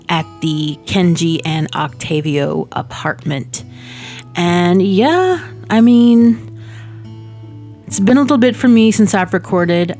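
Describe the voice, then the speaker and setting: Woman host, low voice, slightly stuffy nose, rambling casual gameplay chat, faint music underneath. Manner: rambling, many fillers, self-laughing asides. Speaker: Sims building channel host. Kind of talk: casual chatty gameplay commentary. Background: soft background music.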